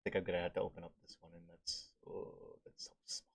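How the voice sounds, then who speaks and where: A man's voice: deep voice, level tone, brisk, vocal fry, slightly rough. A male streamer reading a visual novel aloud with casual asides, stumbling over words and swearing casually.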